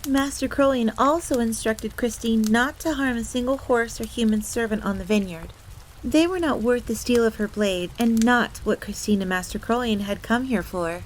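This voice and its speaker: A woman voicing an excitable, devoted fox maid who tells her story theatrically in the third person. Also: fairly high pitch, moderate pace, a little hiss or hum, natural voice, low-quality recording. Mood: devoted, proud. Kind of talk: in-character storytelling audio roleplay. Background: background ambience.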